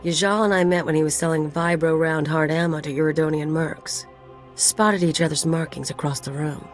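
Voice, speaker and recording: A woman's voice: low voice, steady tone, moderate pace, faint music behind, lightly acted. A woman acting a tough, sardonic female mercenary in a video game, blunt and nonchalant. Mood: casual.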